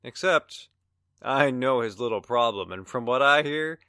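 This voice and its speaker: A man acting a mouthy, sarcastic, excitable busybody in a pulp radio drama, needling in a colloquial working-class way. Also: medium pitch, a little slow, clean tone, no room sound, studio quality. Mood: sarcastic, accusing.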